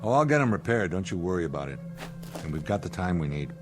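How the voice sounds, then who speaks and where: A low-voiced spaceship commander in a science fiction radio play. He is gruff, practical and impatient, and talks in firm, clipped commands over prominent background music. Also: big pitch swings, fast. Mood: irritated, stern. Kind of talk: audio drama acting.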